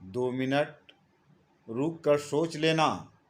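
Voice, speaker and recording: A calm street-corner seller of precious wisdom, as a male storyteller reads him in a storybook tale. He is measured and instructive. The delivery is extremely slow, in a slightly high male voice. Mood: advising. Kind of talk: storybook character lines read by narrator.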